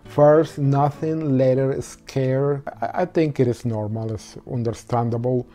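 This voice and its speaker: A man with a Venezuelan accent, a Spanish speaker, telling his own story in halting English with many pauses and restarts. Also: mid-range voice, fairly even intonation, warm tone. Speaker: interviewee. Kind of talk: personal story interview.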